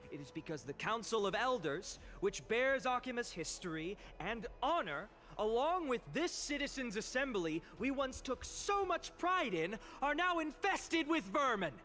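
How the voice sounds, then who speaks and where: A young orator in a video game delivers a fervent public speech. The voice is high and bright, swinging widely with emphatic, eloquent phrasing. Some ambient noise sits behind it. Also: male voice, sing-song, moderate pace, hardly any pauses, exaggerated voice. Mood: impassioned, accusing. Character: impassioned young male orator addressing a citizens' assembly. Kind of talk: dramatic theatrical game voice acting, public oration.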